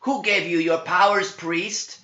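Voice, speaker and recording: Storybook demon in a possessed man: raised, forceful, commanding, mocking, in an echoey room. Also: high-pitched, steady tone, measured pace, voice pushed up. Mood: taunting, demanding. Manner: taunting, emphatic, commanding. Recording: dull, echoey room recording.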